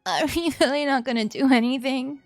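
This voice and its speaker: A frightened young girl from a visual novel, played by a woman. She speaks in a small, faltering voice, raised in pitch and often quiet. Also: a little fast, no echo, clean studio sound, soft background music. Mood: scared. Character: scared, timid young daughter, tearful and hesitant.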